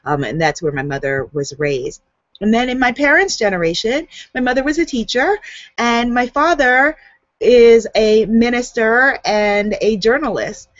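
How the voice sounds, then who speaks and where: Woman author, light Guyanese lilt, lively and chatty, dull dry webcam sound. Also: on the high side, measured pace, dry recording. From United States. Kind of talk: casual talk to camera. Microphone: webcam on a video hangout. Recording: dull, dry home recording.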